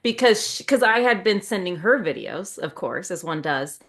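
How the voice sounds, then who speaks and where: A woman's voice: mid-range voice, normal speed. Chatty woman telling a wry story. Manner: animated retelling with quoted dialogue, wry and self-mocking. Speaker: podcast host.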